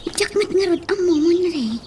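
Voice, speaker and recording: Casting: chatty, teasing Ilocano woman, high voice, radio drama with faint birdsong ambience. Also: animated, fairly quick, mellow, a little hiss or hum. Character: chatty woman hinting at a birthday surprise.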